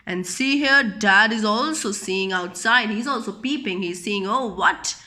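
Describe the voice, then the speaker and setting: A woman's voice: mid-range voice, unhurried, bright, forceful tone. A woman reading a picture book aloud to young children, explaining it simply with questions and repetition. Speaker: children's storyteller.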